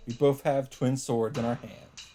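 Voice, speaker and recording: A man narrates a story aloud as a let's play host. His voice is medium in pitch and dark, mellow in tone, with faint music behind. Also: steady tone, measured pace. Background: faint game music.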